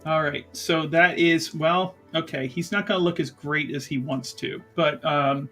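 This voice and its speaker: Bright-voiced woman chatting at a game table. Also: sits low, fairly even intonation, measured pace, faint music behind. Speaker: player in a tabletop roleplaying game. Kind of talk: casual game-table chat.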